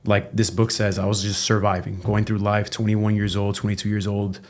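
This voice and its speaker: A man's voice: lowish voice, fairly quick. A man from Cuba, a chiropractor, talking candidly as a guest on a casual podcast, often tagging his points with "right?" and cursing freely. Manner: relaxed and candid, tags statements with "right?", swears freely.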